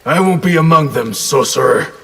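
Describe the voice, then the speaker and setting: An actor playing a proud, stern ninja clan leader in a video game. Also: male voice, on the high side, lively intonation, moderate pace, loud music behind the voice, lightly acted, rough recording. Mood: stern defiance.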